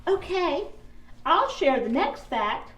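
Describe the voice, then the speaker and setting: A woman voicing a curious, excitable puppet child in a children's puppet show, with an animated, wide-eyed manner. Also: sits high, takes it slowly, full character voice. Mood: excited. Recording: echoey room, far from the mic.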